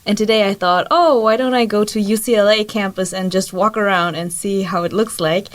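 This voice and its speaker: A woman's voice: mid-range voice, steady tone, fairly quick. Chatty young woman from Germany. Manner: laughs often, chatty. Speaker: guest. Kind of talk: casual radio chat. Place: college radio studio.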